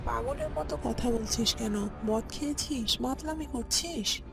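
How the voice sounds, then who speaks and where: A woman voicing an excitable young male villager in cartoon story dialogue, urgent and exclaiming. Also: on the high side, unhurried, gravelly, over music. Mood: scolding.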